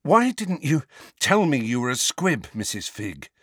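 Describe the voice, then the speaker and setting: A man's voice: medium pitch, big pitch swings, measured pace, fairly bright tone, husky, no room sound, clean studio sound, pitched above the performer's own voice, heavily acted. A man voicing an anxious teenage boy in an audiobook, asking questions in a strained, breathless, urgent way. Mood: panting, puzzled.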